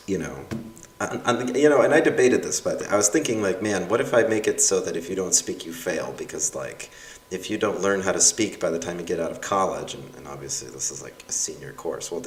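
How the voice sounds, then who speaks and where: A man's voice: low-pitched, lively intonation, normal speed. A young adult man, a university instructor whose first language is Russian, reads aloud his course evaluations in a casual, wry, self-mocking way with lots of fillers. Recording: roomy sound from a distance.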